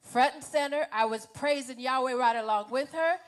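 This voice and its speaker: A woman's voice: high voice, brisk. A woman giving a spoken church testimony, emphatically retelling conversations as she goes.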